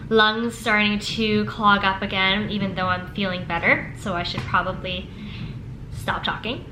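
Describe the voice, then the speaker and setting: A woman's voice: medium pitch, noisy background. A young woman vlogging in casual, rambling chat, her voice worn and her nose stuffy as she loses her voice. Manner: chatty, rambling, peppered with "like". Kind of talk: casual daily vlog chat. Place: at home, then at a restaurant.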